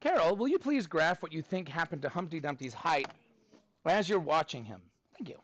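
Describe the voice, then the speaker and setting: A man teaching a middle school class, talking to students in an informal, animated and encouraging way. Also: on the high side, moderate pace, dull audio, a little hiss or hum.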